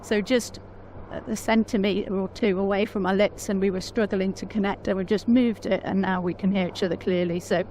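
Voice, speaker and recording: A woman with a naturally quiet voice, chatting casually on a vlog and laughing often. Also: medium pitch, fairly even intonation, moderate pace, few pauses, a little hiss or hum. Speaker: motorcycle vlogger, pillion rider. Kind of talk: casual chat.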